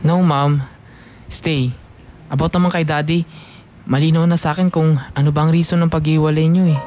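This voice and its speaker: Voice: mid-range voice, fairly even intonation, normal speed, a little mellow, soft background music, close to an everyday voice. A performer playing a hot-tempered, wounded young adult in a radio drama, demanding answers in a confrontational manner. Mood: weak, subdued. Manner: confrontational, demanding, slips between Tagalog and English.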